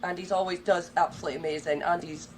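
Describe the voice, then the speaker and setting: A woman's voice: on the low side, steady tone, fast talker, a little hiss or hum, low-quality recording. A woman hosting a casual podcast, bubbly and enthusiastic, casually swearing as she chats. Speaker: podcast host.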